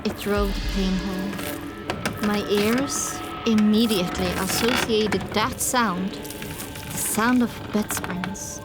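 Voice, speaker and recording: A woman narrating an audiobook in the first person, dramatic, grave, deliberate and intense. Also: mid-range voice, steady tone, loud music behind the voice.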